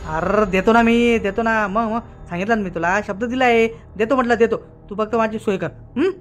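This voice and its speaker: A man's voice: high-pitched, moderate pace, lightly acted. A cartoon rogue on the run, played by a man, cocky yet easily spooked. He repeats himself, over faint music. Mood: cajoling. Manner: cajoling, boastful, repeats himself. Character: cocky, shifty village fugitive, easily spooked. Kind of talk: cartoon comedy dialogue.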